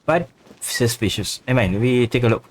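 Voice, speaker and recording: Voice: mid-range voice, lively intonation, moderate pace, slight background noise. A streamer giving casual playthrough commentary in short, murmured reactions and echoes of game dialogue.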